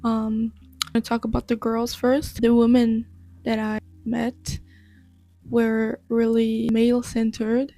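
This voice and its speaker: Young woman from madagascar, french is her first language, slow calm vlog talk, kinda flat. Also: on the high side, faint music behind. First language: Malagasy and French. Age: young adult. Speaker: vlogger. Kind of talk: casual talking-to-camera vlog.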